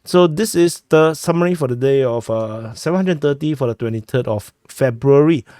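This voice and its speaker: A man who is sick, hosting a war update and walking through a map, talking haltingly with many fillers and restarts on a close mic. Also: medium pitch, mellow, dry recording. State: sick, clearing throat. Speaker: war news host.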